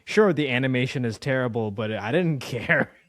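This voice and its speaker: A man's voice: medium pitch, lively intonation, moderate pace, no echo, clean studio sound. A man hosting an interview in a casual, informal, conversational way. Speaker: podcast interviewer.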